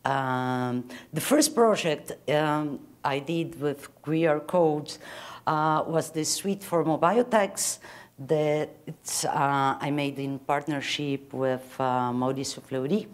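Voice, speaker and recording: A middle-aged Brazilian woman, a Portuguese speaker, lectures in English with a clear accent. Her voice sits low and she talks slowly, pausing on fillers as she builds each point. She speaks from a conference stage into a lapel mic. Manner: measured and thoughtful, with frequent fillers. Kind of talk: academic lecture.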